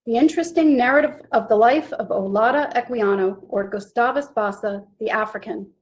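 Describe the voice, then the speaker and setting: A woman presents a literary history talk, reading from her script in a clear, even way. Her voice sits a little high. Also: low-quality recording.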